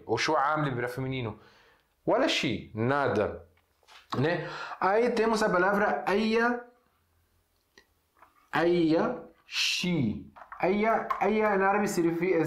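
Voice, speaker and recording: A man from Syria with a Syrian Arabic accent, a native Arabic speaker, teaching a casual online lesson. He explains slowly, drags out example words, and uses many fillers and restarts. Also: fairly high pitch, a bit bright, reverberant.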